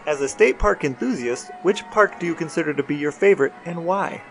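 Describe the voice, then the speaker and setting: A man with a somewhat high voice co-hosts a podcast. He chats casually and conversationally, with faint music in the recording. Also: expressive, fairly quick. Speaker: podcast co-host. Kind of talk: casual chat.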